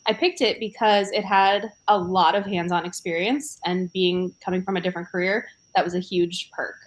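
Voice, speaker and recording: A woman's voice: lowish voice, little pitch movement, normal speed, rough recording. Upbeat American woman from Ohio. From Ohio, United States. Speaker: student panelist. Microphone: video call.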